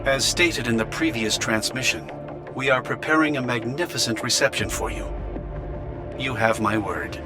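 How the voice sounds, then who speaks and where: An actor playing a polite, guarded project director in a video game, speaking formally and courteously. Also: male voice, medium pitch, normal speed, close to an everyday voice. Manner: formal, courteous, smooth official. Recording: background music under voice.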